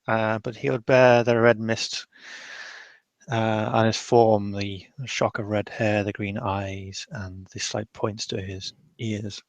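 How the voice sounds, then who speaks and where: A man improvising descriptive narration as a player in a roleplaying game, in a halting, deliberate way. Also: fairly low pitch, fairly bright tone, dry recording.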